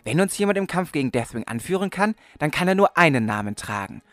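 A man's voice: fairly high pitch, very animated, fast talker, a bit bright, dry recording. A man playing an earnest but cheeky sixteen-year-old aspiring warrior in a comedic fantasy audio play. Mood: earnest, solemn. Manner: earnest storytelling, slangy when challenged.

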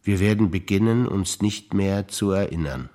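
An older man, a stage actor reciting memories in a rhythmic, deliberate listing manner with pauses. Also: sits low, dark tone.

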